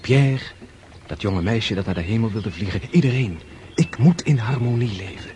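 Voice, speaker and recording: An actor as a weary yet reawakening Russian prince speaks in a deep voice. He muses reflectively in radio drama style. Birds and clear background noise surround him. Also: expressive, normal speed, mellow, natural voice. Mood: resolved. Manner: reflective, musing aloud.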